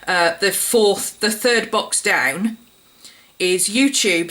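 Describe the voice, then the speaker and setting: Vlogger, woman, croaky from a cold, bright tone, chatty walkthrough. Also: mid-range voice. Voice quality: slightly croaky, husky. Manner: chatty, explaining step by step. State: hoarse from a cold. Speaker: planner YouTuber. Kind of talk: casual show-and-tell vlog.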